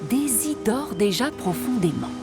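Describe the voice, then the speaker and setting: High-voiced woman narrating a children's story. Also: animated, a little slow, over loud music.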